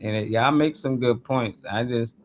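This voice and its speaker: A man's voice: medium pitch, moderate pace, slight background noise. A man with a mid-range voice hosting a casual live discussion. He talks informally and colloquially, laying out his opinions like someone telling stories to friends. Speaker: talk show host.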